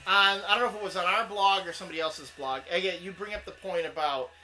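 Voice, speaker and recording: A man's voice: high voice, fast talker, sharp tone, soft background music, low-quality recording. A man co-hosting a casual comic book talk show, rambling and restarting as he defends his opinion. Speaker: co-host.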